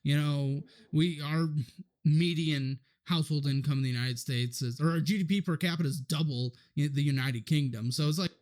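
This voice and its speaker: A man with a slightly high voice, chatting loosely on a podcast. He speaks as a guest, leaning on fillers as he explains his views on money. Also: normal speed, darkish tone. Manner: loose, filler-heavy talk. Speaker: podcast guest, financial show host. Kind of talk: podcast conversation.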